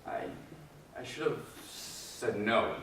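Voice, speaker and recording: A man playing a possessive, brooding circus elephant trainer in a stage monologue with dramatic pauses, heard from far off in an echoey space. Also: mid-range voice, animated, a bit bright, a little hiss or hum. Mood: bitter, distrustful. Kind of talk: theatrical monologue, readers theater.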